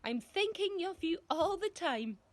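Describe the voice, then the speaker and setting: A woman's voice: extremely high pitch, animated, measured pace, no room sound, voice pushed up. A woman reading a children's storybook, voicing an eager, mischievous young boy with a sing-song, calling delivery. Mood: calling back.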